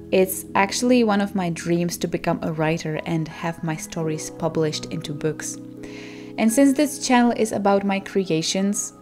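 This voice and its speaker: A woman's voice: fairly low pitch, a little mellow. A young woman in her twenties with a Czech accent, a native Czech speaker, narrating her art vlog in a chatty, self-deprecating way with frequent laughs. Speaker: art channel host.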